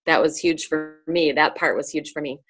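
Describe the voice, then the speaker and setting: A woman sharing with her team on a video call, speaking softly and haltingly with many fillers. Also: low-pitched, fairly even intonation.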